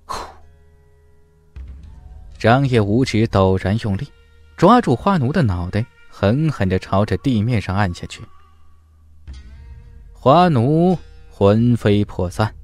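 A man's voice: fairly low pitch, a little mellow, no echo. A man narrating a fantasy audiobook from a script in a dramatic, emphatic storytelling style.

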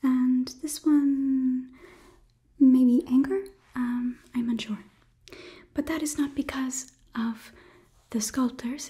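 Slow, whispering young woman in ASMR roleplay. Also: on the high side. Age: 20s. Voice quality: soft, breathy whisper. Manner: formal, measured, deliberate phrasing. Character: polite, condescending robot overlord examining a human. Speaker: ASMR performer. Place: small indoor studio set. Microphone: close microphone, off camera.

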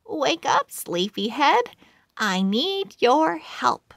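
A woman voicing an excitable, affectionate young girl inventor in a children's storybook, chirpy and exclaiming. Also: high voice, extremely slow, gravelly, no echo, clean studio sound, voice pushed up, heavily acted. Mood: eager.

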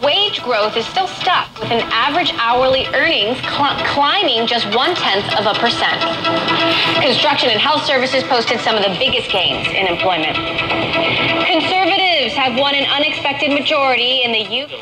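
A woman's voice: high voice, lively intonation, moderate pace, loud music behind the voice, poor audio. A woman reading a scripted news bulletin, heard through a vintage AM radio speaker.

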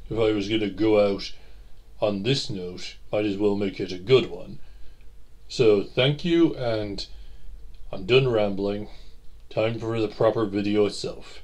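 A man speaks as host of an audio channel in a somewhat low voice. His pace is unhurried and halting, like a casual, reflective update to his followers. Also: darkish tone. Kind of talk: casual rambling announcement to listeners.

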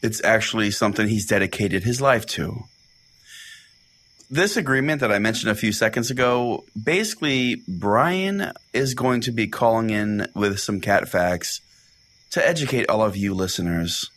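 A man's voice: lowish voice, lively intonation, normal speed, a bit bright. A man hosting a comedy podcast, telling it in a theatrical, mock-solemn way. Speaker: podcast host. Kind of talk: comic dramatic storytelling and show chat. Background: music.

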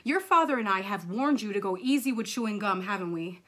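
A woman playing a fussing, firm mother, bright-voiced. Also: mid-range voice, expressive, fairly quick. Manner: cooing, scolding. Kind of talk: children's storybook character voice.

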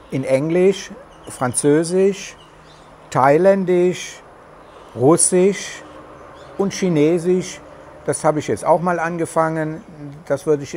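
A man's voice: on the high side, darkish tone, a little hiss or hum. A middle-aged man with a regional German dialect, chatting casually to camera with many hesitations, recorded outdoors on a terrace with a lapel mic. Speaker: vlogger. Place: covered terrace outdoors in the tropics.

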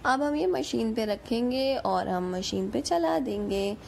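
A woman's voice: fairly high pitch, animated, measured pace, creaky, a little mellow, slight background noise. A woman with a Pakistani accent giving a chatty, informal recipe voice-over as a home cook.

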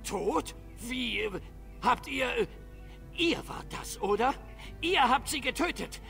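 A man's voice: very high-pitched, animated, slow, gravelly, bright, forceful tone, soft background music, heavily acted. A man voicing a flustered, indignant dwarf shopkeeper in a video game, stammering and complaining. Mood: accusing, annoyed. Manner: agitated, stammering, whiny complaining.

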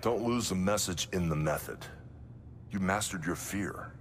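A calm, deep-voiced trainer. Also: male voice, slight background noise. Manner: calm, measured, instructive. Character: calm male martial arts trainer, measured. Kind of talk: video game cutscene.

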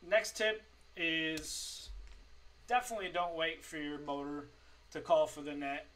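A man in his forties giving informal tips to camera in a halting, improvised way from a home room. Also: fairly high pitch, steady tone, fairly bright tone, recorded from a distance. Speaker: fishing tips presenter. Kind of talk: informal tutorial talk to camera. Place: small home streaming room, indoors.

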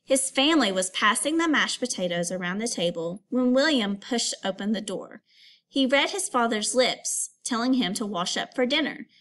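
A woman teacher giving a read-aloud lesson for children, instructive and expressive, as if putting questions to pupils. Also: on the high side, moderate pace.

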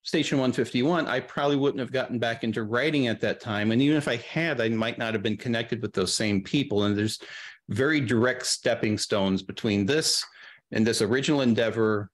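A middle-aged man chatting casually as an interview guest on a video call, thinking aloud in a halting way. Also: medium pitch. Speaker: guest, audio drama creator. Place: small room on a video call.

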